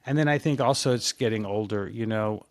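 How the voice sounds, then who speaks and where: A man in his sixties, a podcast guest, talking in a relaxed, rambling way about his fitness habits, often restarting his sentences. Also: fairly low pitch, brisk, no echo. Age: 60s. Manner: rambling and reflective, with frequent restarts. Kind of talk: casual interview chat.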